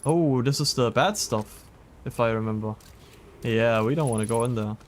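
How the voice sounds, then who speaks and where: A man's voice: mid-range voice, normal speed, slight background noise. A man chatting casually while playing a game on a livestream. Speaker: livestream gamer. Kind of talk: casual game stream chat.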